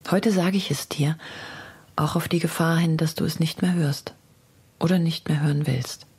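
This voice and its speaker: A woman playing a torn, lovesick young poet, reading letters in a hushed, intimate, measured way for a radio feature. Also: deep voice, steady tone. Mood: aching, intimate. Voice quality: breathy, hushed.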